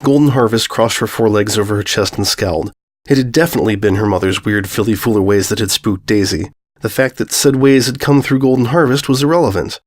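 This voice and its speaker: A man reading a scripted story aloud as an expressive audiobook narrator. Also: on the low side, fast talker.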